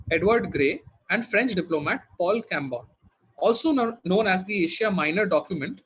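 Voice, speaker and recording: High-pitched, husky male quiz master. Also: fairly bright tone, lo-fi sound. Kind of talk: reading quiz questions to contestants.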